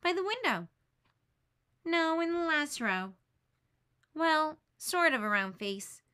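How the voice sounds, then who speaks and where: A woman reading aloud, voicing a calm, earnest boy who encourages his friend. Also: high-pitched, takes it slowly, pitched above the performer's own voice, heavily acted. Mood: explaining.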